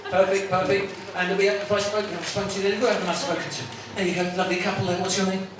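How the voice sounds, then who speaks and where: A man's voice: high voice, little pitch movement, rapid-fire. A man compering a stand-up comedy night, bantering and teasing the audience in a chatty, rambling way with lots of restarts. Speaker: comedy club MC. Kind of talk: stand-up compering and crowd work. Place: comedy club with live audience. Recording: echoey, distant room recording with loud noise.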